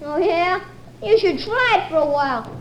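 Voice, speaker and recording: A young boy in an old radio family comedy, with a very high voice that swings about expressively. He is plaintive and eager, full of slang and exaggeration. The old recording has a faint hiss. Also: expressive, moderate pace, low-quality recording. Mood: eager, scheming. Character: bored, bedridden young boy, eager, dramatic and wheedling.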